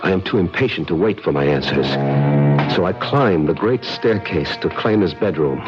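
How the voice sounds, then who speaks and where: A man's voice: low-pitched, lively intonation, normal speed. An actor playing a brooding, impassioned man haunted by lost love, earnest and dramatic in an old-time radio mystery. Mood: brooding, intense. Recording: dull old radio recording with music behind.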